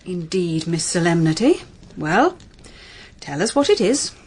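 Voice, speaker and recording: An actress playing a genteel middle-aged Victorian mother in an audio drama, with a measured, admonishing manner. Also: fairly low pitch, very animated, darkish tone, breathy edge, exaggerated voice. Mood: wry, appraising. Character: brisk, genteel Victorian mother, practical and gently sceptical. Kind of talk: period audio drama acting.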